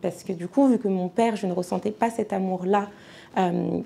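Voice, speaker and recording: A woman's voice: medium pitch, steady tone, normal speed, gravelly, mellow, a little hiss or hum. A woman in her thirties, from France, giving a personal church testimony in a conversational way with frequent hesitations. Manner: conversational, many fillers and restarts.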